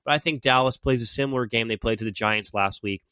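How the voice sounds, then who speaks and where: A man's voice: medium pitch, quick pace, sharp tone, smooth, dry recording, clean studio sound. A man hosting a sports podcast while he has a cold, chatting casually and making game predictions as an enthusiastic fan.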